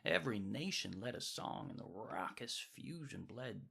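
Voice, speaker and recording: A man's voice: lowish voice, animated, moderate pace, vocal fry, bright, forceful tone, husky, exaggerated voice. A man playing a wandering bard who tells lyrical fantasy tales in florid, poetic phrasing for a radio drama. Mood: wistful, celebratory. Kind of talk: scripted radio drama storytelling.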